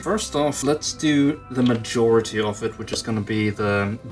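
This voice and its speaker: A man with a mid-pitched voice talks casually through hands-on hobby work. He thinks aloud in an informal, self-deprecating way, rambling as he goes. Music plays behind his voice. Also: moderate pace. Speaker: toy customizer, channel host. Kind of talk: casual rambling hobby commentary while painting.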